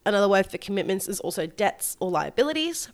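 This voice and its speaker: A woman's voice: mid-range voice, very animated, quick pace. An Australian woman with a slight head cold, presenting a scripted solo podcast as co-host and mortgage broker. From Gold Coast, Australia.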